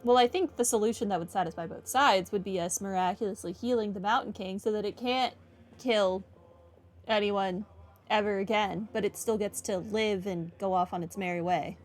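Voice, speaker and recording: A woman's voice: medium pitch, moderate pace. A woman giving casual commentary on a game playthrough, wryly thinking aloud. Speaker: gaming streamer. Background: game music.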